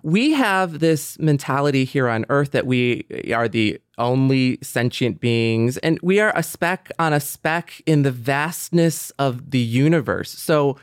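A man's voice: medium pitch, expressive, a little slow, hardly any pauses, clear, resonant tone, dry recording, clean studio sound. A man talking casually in a panel discussion, explaining his ideas in an earnest, expansive way.

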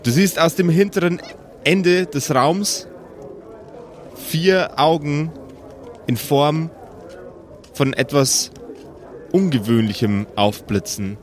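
Male game master, slightly high voice, improvised tabletop narration, deliberate dramatic pacing of descriptions.

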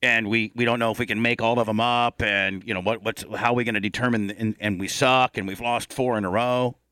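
A man's voice: fairly low pitch, steady tone, brisk, pushed and bright, clean tone, dry recording. A man hosting a casual sports talk show, bantering in a blunt, joking and exaggerating manner. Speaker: radio show host.

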